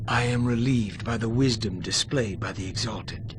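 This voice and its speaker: A man's voice: fairly low pitch, normal speed, slight background noise, close to an everyday voice. A man playing an authoritative alien military commander in a video game, speaking in a formal, oratorical manner. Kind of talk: dramatic scripted game cutscene acting.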